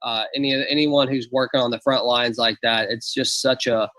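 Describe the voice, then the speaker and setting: A man's voice: medium pitch, fairly even intonation, normal speed, pushed and bright, dry recording, poor audio. A man hosting a live online call, upbeat and reassuring, talking casually with plenty of fillers. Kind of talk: casual live-stream chat.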